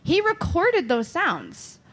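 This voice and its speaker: A woman's voice: very high-pitched, wildly swooping pitch, slow pace, a bit bright. A woman in her thirties with an American accent, chatting casually and emphatically as co-host of a live podcast. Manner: emphatic, gushing, repeats herself for emphasis. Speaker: podcast co-host and skating commentator. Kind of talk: casual chat at a live show. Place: live podcast show before an audience. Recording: noisy live-show audio.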